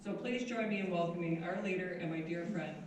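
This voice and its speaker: A woman's voice: fairly low pitch, fairly quick. A woman who organizes the event, reading a prepared introduction in an earnest, slightly nervous way. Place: meeting room. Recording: very echoey hall, distant mic, faint noise.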